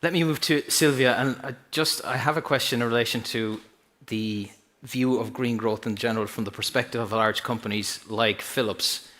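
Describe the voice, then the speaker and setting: A man's voice: medium pitch, normal speed, fairly bright tone. A man from Ireland with an Irish accent, moderating a panel in a hesitant way with many restarts. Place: stage at a university event.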